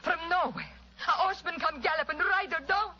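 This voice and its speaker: A woman's voice: very high-pitched, fairly quick, highs cut off, slight background noise. A woman acting an imperious, distressed opera diva with an Italian accent and broken English, melodramatic in old-time radio style. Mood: grave, distressed.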